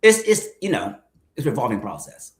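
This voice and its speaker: Guest in his 50s, mid-pitched, reflective and candid, echoey room. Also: wildly swooping pitch, a little fast. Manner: reflective, speaks to viewers directly. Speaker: guest, mukbang creator. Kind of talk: candid conversational interview. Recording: roomy echo.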